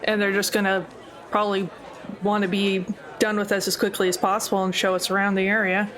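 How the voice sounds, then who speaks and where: A woman's voice: medium pitch, flat delivery, a little fast, fairly bright tone, a faint crowd. A woman chatting casually as a player in a tabletop roleplaying game, improvising with a wry, joking manner.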